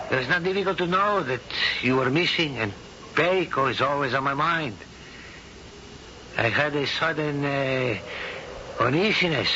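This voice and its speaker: A man's voice: mid-range voice, lightly acted. An actor playing a proud, unbending older nobleman in a radio drama, speaking formally and with authority. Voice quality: formal, commanding delivery. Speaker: stern aristocratic father. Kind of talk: 1970s radio drama acting. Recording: dull old broadcast with hiss.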